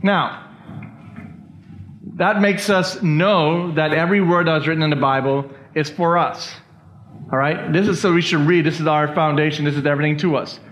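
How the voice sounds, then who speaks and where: A man's voice: a little high, fairly even intonation, moderate pace, a bit bright, a little hiss or hum. A man from the Bahamas, teaching a church Bible study and often checking in with his listeners. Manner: keeps checking in with listeners, "all right?". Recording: dull lo-fi recording.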